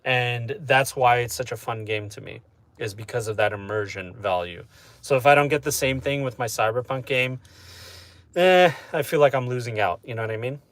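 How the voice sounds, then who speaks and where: A man chatting casually with his live-stream audience, relaxed and talkative, often asking "you know what I mean?". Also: mid-range voice, normal speed, no room sound. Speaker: streamer answering chat.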